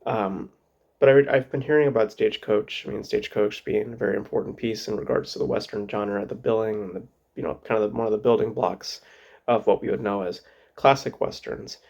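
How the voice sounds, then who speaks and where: A man hosting a casual film review, hoarse from a cold, rambling with many fillers and restarts. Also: on the low side, steady tone, a little fast, a little mellow, muffled recording. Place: home.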